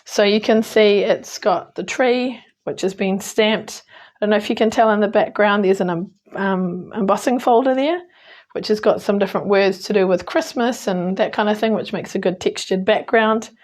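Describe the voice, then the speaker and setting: A hoarse woman with a New Zealand accent talks through a stamping and card-making demo. Her speech is chatty and relaxed, sprinkled with ums and quick corrections as she works. Her croaky voice holds a steady middle pitch. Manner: chatty, with frequent ums and small slips. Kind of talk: casual crafting tutorial.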